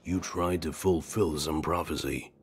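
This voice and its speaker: Guy doing a super deep solemn strategist from a fantasy game, careful and measured, faint music behind. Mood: grave warning. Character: grave, cautious male war strategist in fantasy story.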